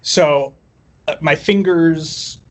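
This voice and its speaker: A man with a slightly high voice tells stories about himself in a casual interview. He comes across as wry and self-deprecating, talking informally as a musician guest would. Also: drawn-out words. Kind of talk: casual chat, personal storytelling.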